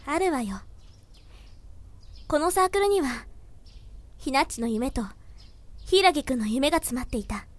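A woman's voice: high-pitched, expressive, measured pace, pauses often, no echo. A woman playing a caring, encouraging senior student of a college drama club in an audio drama. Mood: earnest, encouraging. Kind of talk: fan audio drama acting.

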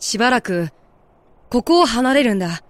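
A woman voicing a gentle, earnest young man with a sad past, speaking politely and softly in an audio drama. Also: on the high side, big pitch swings, moderate pace, no echo. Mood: gentle, calm.

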